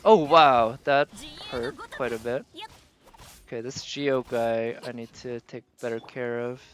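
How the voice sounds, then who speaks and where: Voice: medium pitch, steady tone, measured pace. Casual game streamer muttering through play. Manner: talking to self while playing, mild swearing. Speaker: game livestreamer. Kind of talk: casual live gameplay commentary.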